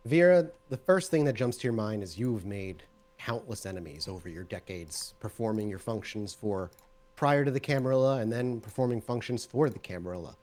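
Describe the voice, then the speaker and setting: A man's voice: lowish voice, animated, fairly quick, a little mellow. Male game master narrating a scene. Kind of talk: narrating a tabletop roleplaying game.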